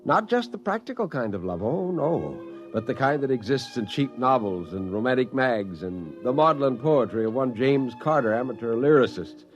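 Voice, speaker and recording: A man's voice: medium pitch, expressive, moderate pace, hardly any pauses, muffled recording, soft background music, lightly acted. A man playing an exasperated spaceman, emphatic and wry, in a 1950s radio drama. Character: exasperated spaceman narrating his kidnapping by a robot.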